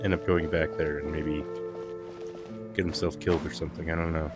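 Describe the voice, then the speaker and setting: A man's voice: extremely low pitch, level tone, brisk. A man giving casual live stream commentary as he plays a game. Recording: game music behind the voice. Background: game music.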